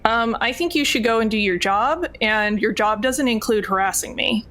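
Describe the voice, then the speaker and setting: A woman's voice: a little high, moderate pace, fairly bright tone, close to an everyday voice. Woman acting a snippy, spoiled rich daughter. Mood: haughty, dismissive.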